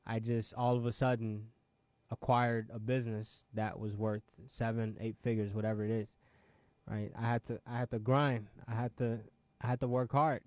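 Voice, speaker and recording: A man's voice: mid-range voice, level tone, moderate pace, a little mellow, no echo. A man in his late twenties, originally from Ghana, hosting his own show and giving a motivational talk, pressing viewers with repeated rhetorical questions and frequent "right?" asides. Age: 20s. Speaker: show host.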